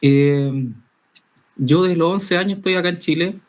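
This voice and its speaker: A young man from Spain speaks as an interview guest, open and candid. He talks conversationally and warms up when he criticizes his field. His voice sits a touch high for a man. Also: measured pace. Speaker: guest, safety professional. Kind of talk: casual interview talk.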